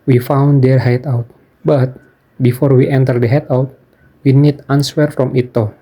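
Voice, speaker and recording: A man recaps a game's story as narrator in a soft-edged, mellow voice at a middling pitch. His phrasing sounds non-native. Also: little pitch movement, moderate pace, husky, faint music behind. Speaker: video game player and narrator.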